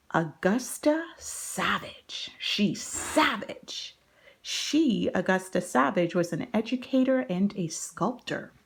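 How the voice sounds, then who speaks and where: A woman's voice: mid-range voice, vocal fry. A woman reading a children's story aloud as host, speaking directly to young listeners in an instructive, encouraging way. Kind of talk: children's story read-aloud with teacherly asides.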